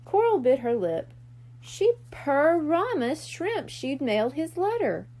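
A woman reading a children's story aloud in an expressive narration style. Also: high voice, slow. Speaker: storybook reader.

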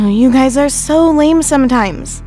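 Eager young mutant girl, high, lively, faint music. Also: takes it slowly, low-quality recording. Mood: excited. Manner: excited, exclaiming. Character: excitable young woman mutant, phasing teen.

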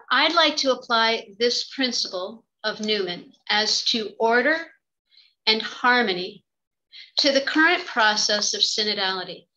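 A middle-aged woman reading a prepared academic lecture in a measured, formal manner on a video call. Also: fairly high pitch, sharp tone, poor audio. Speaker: theology professor and religious sister giving a talk. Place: indoor office, on a video call. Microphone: computer microphone on a video call.

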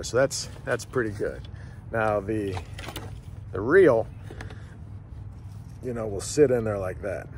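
A man, hosting a casual outdoor how-to video with a relaxed, folksy way of talking. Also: fairly low pitch. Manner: relaxed, folksy, repeats himself with fillers. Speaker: fishing channel host. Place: outdoors by a wooded riverbank. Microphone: camera on a tripod a few feet away. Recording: outdoor noise, traffic in the background.

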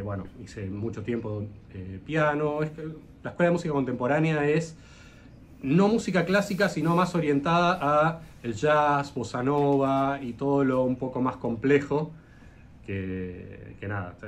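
Argentine man telling his story haltingly. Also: medium pitch, slight background noise. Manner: hesitant, frequent "eh" fillers, rambling anecdotes. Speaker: luthier guest. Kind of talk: casual interview storytelling.

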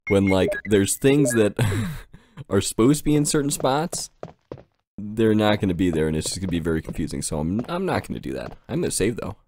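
Deep-voiced man commentating a game, very animated. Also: fairly quick, noisy background. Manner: crude, swearing, exclamatory. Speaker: gaming video host. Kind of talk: casual let's play commentary.

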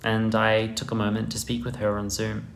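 A man's voice: lowish voice, completely flat delivery, creaky. A man, narrating a scripted video essay in an earnest, emphatic way, recorded close to the camera in a small room. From Netherlands. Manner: earnest, emphatic, deliberate delivery. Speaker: horse trainer presenting a video essay. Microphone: close camera framing, head and shoulders.

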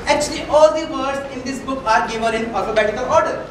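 A man's voice: very high voice, fast talker. A young Indian-accented man playing an earnest, exasperated dictionary salesman in an amateur comic stage play, speaking emphatically. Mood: patient, hesitant. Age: young adult. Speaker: student stage actor. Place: auditorium stage, indoors. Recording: echoey noisy auditorium, distant microphone.

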